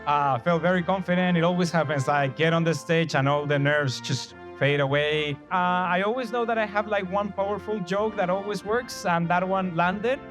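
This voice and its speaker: Chilean guy w spanish accent chatting casually in interview, voice a bit high, music under it. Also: normal speed, few pauses. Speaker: comedian. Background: background music.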